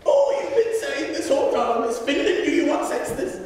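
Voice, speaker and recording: A man's voice: very high-pitched, sing-song, normal speed, a little mellow, soft background music. A young man in an amateur comic fanfiction reading, voicing a whiny, exasperated pregnant character with melodramatic rants. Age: young adult. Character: exasperated, whiny, heavily pregnant male nation. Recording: echoey hall from far off, faint noise.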